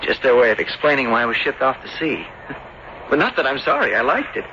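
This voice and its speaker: An actor playing an earnest, adventurous young sailor in an old-time radio drama, speaking haltingly and politely. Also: male voice, medium pitch, sing-song, bright, forceful tone. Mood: polite, puzzled. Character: earnest, adventurous young seafaring farmer's son. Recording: dull, noisy old radio recording.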